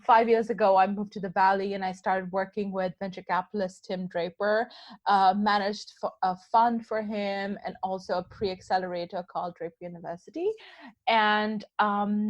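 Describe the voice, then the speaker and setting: Animated woman guest. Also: medium pitch, normal speed, no room sound. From Pakistan. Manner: animated, thinks aloud with fillers. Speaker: guest, tech founder. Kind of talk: casual interview talk. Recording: slightly dull lo-fi sound.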